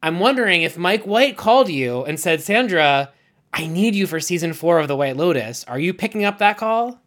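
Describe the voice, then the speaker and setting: A man's voice: sits high, lively intonation, normal speed, a bit bright. A man hosting a podcast, asking long, run-on questions and correcting himself along the way. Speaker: podcast host. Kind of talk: casual interview chat.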